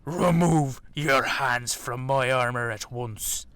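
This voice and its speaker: A man's voice: medium pitch, slow pace, a bit bright, clean tone, dry recording. A man playing a proud, stern old dragon king and warlord father in an audio drama, speaking in a formal, commanding way. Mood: angry, commanding. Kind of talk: dramatic audio roleplay acting.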